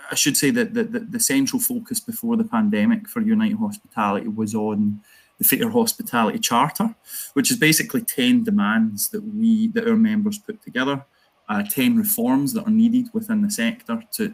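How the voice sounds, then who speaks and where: A Glaswegian man speaks in a fairly low voice, earnest and campaigning, with quick asides. He talks as a union organiser on an online panel. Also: husky. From Scotland.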